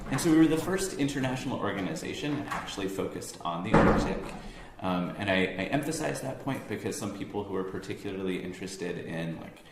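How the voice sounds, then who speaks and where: A young American man with a very low voice gives an informal talk in a room that rings with echo. He speaks in a loose, chatty way, full of ums and restarts. A faint hum sits under his voice. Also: vocal fry. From United States, near Boston. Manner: relaxed, conversational, many ums and self-corrections. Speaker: science organization staff giving a talk. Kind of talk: informal presentation to an audience. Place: room with an audience.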